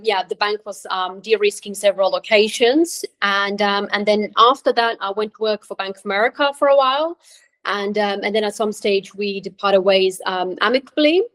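A woman guest on a podcast who grew up in Switzerland, speaking at a middle pitch. She pauses often, filling gaps with ums and circling back to restart her sentences. Her voice comes through a remote call. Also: low-quality recording. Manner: hesitant, many ums and restarts. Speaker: podcast guest, career coach. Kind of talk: conversational interview.

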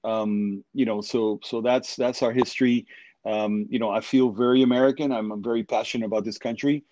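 A man's voice: medium pitch, fairly even intonation, brisk, mellow, dry recording, rough recording. A man born in Cuba and raised in Miami, answering interview questions conversationally, with reflective, hesitant phrasing. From born in Cuba, grew up in Miami from age six. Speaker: architect, podcast guest.

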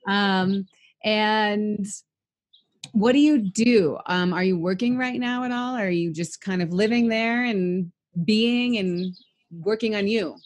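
Female, 30s, chatty upbeat interviewer, mid pitch. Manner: chatty, upbeat, quick follow-up questions. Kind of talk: casual interview.

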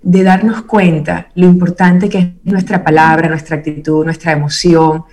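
A woman's voice: fairly low pitch, level tone, moderate pace, darkish tone. A woman speaking as an interview guest, reflective and earnest in a conversational style. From Venezuela.

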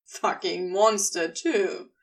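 A woman's voice: mid-range voice, fairly even intonation, slow, pitched below the performer's own voice. A woman voicing a nervous, sardonic husband in an audio story, grumbling and defensive. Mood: grumbling, wry.

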